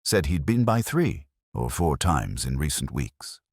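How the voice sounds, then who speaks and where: Man, extremely low voice, lively intonation, brooding detective narration, measured deliberate phrasing, literary. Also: breathy edge, dry recording, studio quality. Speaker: audio drama narrator. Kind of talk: first-person detective story narration, literary.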